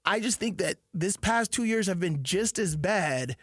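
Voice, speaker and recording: A man who played the sport, co-hosting a sports radio show and chiming in with quick agreement and emphatic repeats. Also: sits high, animated, dry recording, studio quality. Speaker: former player turned radio co-host. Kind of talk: casual sports talk.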